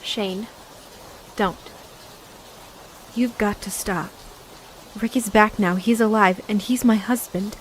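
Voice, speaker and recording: A woman's voice: medium pitch, steady tone, takes it slowly, pauses often, lightly acted, low-quality recording. A woman playing a distressed but firm survivor's wife in a dramatic comic dub. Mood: firm, pained. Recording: faint rain and background noise.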